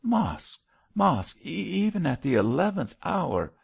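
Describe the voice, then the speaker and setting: An earnest young gentleman parson from a storybook, played by a male narrator. He speaks formally and piously, with a nervous edge and lively swings of pitch in a slightly husky voice. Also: a little slow, muffled recording, heavily acted. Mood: pious, imploring.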